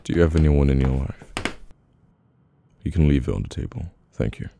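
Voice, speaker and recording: A man with a British accent playing a brooding, guarded mafia boss in an intimate, halting, murmured ASMR roleplay. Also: a bass voice, vocal fry, mellow, a little hiss or hum. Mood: subdued, wry.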